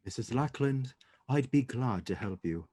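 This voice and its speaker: A man playing a composed, courteous English gentleman detective in a radio play, speaking in a measured, formal way. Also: medium pitch, lively intonation, natural voice. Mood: courteous, attentive.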